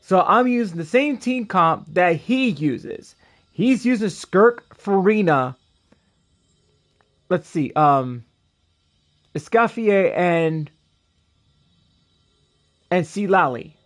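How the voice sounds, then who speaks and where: A man with a fairly high voice talks casually to his stream. He rambles along, often circling back to repeat a phrase. Faint music plays behind him. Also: expressive, slow, pauses often, a bit bright. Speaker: game streamer. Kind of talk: casual livestream chat over gameplay. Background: game music.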